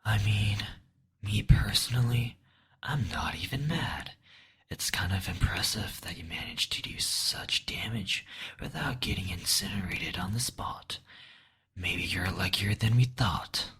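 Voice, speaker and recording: A man's voice: deep voice, expressive, unhurried, a bit bright, exaggerated voice. A man voicing a playful, flirtatious demon lord who coaxes and mocks in intimate roleplay audio. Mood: amused, soothing. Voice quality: breathy, whispery. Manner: coaxing, flirtatious, mocking.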